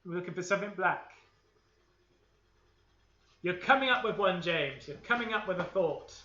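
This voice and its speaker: A man's voice: sits high, expressive, normal speed, sharp tone. A young Welsh man with an upbeat, playful, encouraging manner, hosting a live baking session for children from a home kitchen. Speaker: host of a kids' baking livestream. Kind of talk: leading a live baking session with a game of I Spy. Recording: echoey room, dull lo-fi stream audio.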